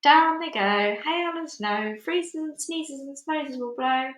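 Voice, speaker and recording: Lady doing bossy gleeful cloud men, high calling chanty voice, storybook, echoey. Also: slow. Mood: gleeful chant. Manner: shouting and chanting.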